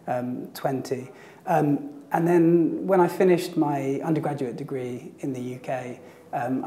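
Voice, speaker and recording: Male interview guest, gravelly and hoarse, mellow, measured and hesitant. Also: mid-range voice. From England. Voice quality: slightly gravelly. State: hoarse from a lingering virus. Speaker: guest, historian and blog co-editor. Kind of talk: casual interview answers. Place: temporary studio in an office building.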